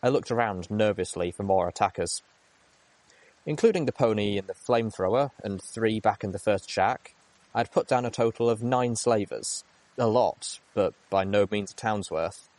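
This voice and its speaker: A man's voice: lowish voice, normal speed, a little mellow, dry recording, rough recording. A man reading a first-person adventure story aloud as dramatic narration. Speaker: audiobook reader.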